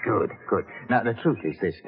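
An actor playing a roguish, mercenary professor in an old radio drama, with florid, mock-humble charm. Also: male voice, on the low side, lively intonation, moderate pace, natural voice. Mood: conspiratorial. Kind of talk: 1940s-50s radio drama acting. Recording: tinny old radio recording, reverberant, music behind.

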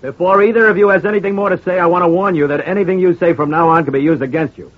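Actor as a quick-talking, firm police lieutenant. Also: male voice, sits high, fairly even intonation, close to an everyday voice. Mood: commanding. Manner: curt, official. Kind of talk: old-time radio crime drama acting. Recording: dull, faintly noisy old radio recording.

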